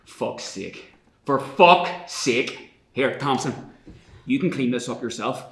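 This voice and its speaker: A man's voice: mid-range voice, lively intonation, takes it slowly, creaky. A man playing an exasperated, commanding paramilitary leader in a screenplay table read. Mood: angry, exasperated. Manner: commanding, sweary, urgent. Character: exasperated paramilitary leader struggling to keep control. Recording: echoey room.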